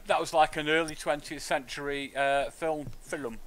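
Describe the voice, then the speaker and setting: A man's voice: a little high, fairly even intonation, moderate pace, a bit bright. A British man giving live, irreverent, sweary commentary while streaming a video game. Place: indoors at a home streaming setup. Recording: game sound behind the voice. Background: video game audio.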